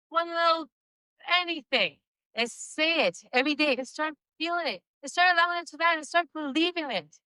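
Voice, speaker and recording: A woman with a slight Spanish accent, Spanish her first language, delivers an emphatic motivational speech in a high, bright voice. She stretches out her key lines and laughs lightly between points. The sound is dry and close. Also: no room sound, low-quality recording. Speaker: motivational speaker. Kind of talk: inspirational stage speech.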